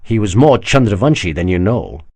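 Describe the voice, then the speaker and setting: A man voicing a teasing, sharp-witted princess. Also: fairly low pitch, big pitch swings, moderate pace, breathy edge, full character voice. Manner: teasing, gently mocking. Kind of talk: audiobook character voice.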